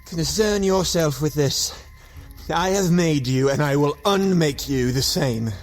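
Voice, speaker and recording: Male actor as proud desperate scientist, high-pitched male voice, formal, commanding, audio drama, faint music. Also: unhurried, lightly acted. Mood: defiant, cold. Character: proud, desperate scientist, hostile to his creation.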